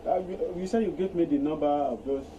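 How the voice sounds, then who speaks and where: A man's voice: fairly high pitch, a little fast, muffled recording, crowd noise. A man from Nigeria, a tenant facing eviction who speaks hesitantly and pleads.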